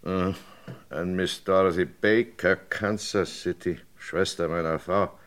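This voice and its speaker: An actor playing a hearty older man in a German crime radio play, animated and talkative. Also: low voice, slow. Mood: guarded. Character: hearty old American family friend, sociable and talkative.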